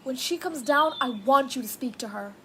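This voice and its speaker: A young woman playing a fussy, strict wife and mother in a high school radio drama. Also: expressive, normal speed, fairly bright tone, slight background noise. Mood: stern.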